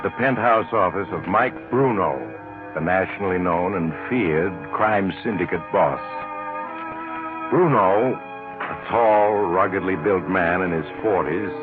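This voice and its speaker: Deep, sinister old-time radio storyteller. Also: male voice. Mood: grave storytelling. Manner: slow, insinuating, mock-genial. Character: the Mysterious Traveler, sardonic ghoulish male storyteller on a train. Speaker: host and narrator. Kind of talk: 1940s radio mystery narration. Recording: muffled, noisy old radio recording.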